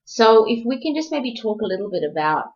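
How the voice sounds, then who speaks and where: A woman's voice: fairly high pitch, big pitch swings, brisk, lo-fi sound. A woman from South Africa hosting an interview, talking casually with lots of "um" and "you know" and restarting her sentences. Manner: rambling, many fillers and restarts. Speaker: host.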